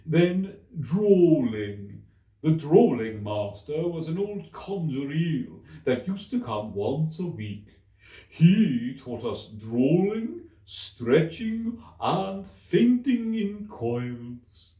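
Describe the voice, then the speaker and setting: Sorrowful, easily offended old storybook turtle, higher-pitched, very drawn out, in an echoey room. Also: male voice, big pitch swings, dark tone, heavily acted. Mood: wistful. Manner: slow, drawn-out, sorrowful delivery. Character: mournful, touchy old male turtle, sighing over schooldays. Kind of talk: children's storybook reading. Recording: echoey room, distant microphone.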